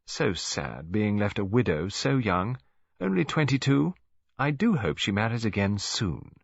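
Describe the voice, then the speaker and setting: A man plays a kindly elderly English grandmother in an audiobook. She is chatty, fond and gently scheming, with a lilting, slightly husky delivery. The sound is dry and a bit dull. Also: lowish voice, sing-song, measured pace, no echo, heavily acted. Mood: pleased, chatty.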